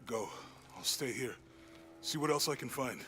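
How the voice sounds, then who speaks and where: An actor playing a loyal, cautious male adventuring companion in a video game, casual and low-key in manner. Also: expressive, moderate pace, noisy background, lightly acted. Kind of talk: video game cutscene acting.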